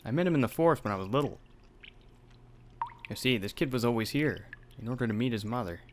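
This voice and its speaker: A man's voice: mid-range voice, lively intonation, quick pace, slight background noise. A young man hosting a let's play, reading out a horror game's dialogue with some drama and swearing in asides. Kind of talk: reading game text aloud with commentary.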